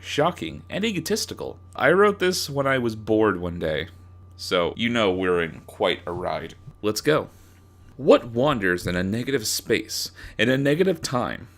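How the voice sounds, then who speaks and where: A man's voice: lowish voice, big pitch swings, measured pace, vocal fry. A young adult man doing a comedic dramatic reading as narrator, sarcastic and crude, with theatrical emphasis. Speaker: narrator reading own fanfiction.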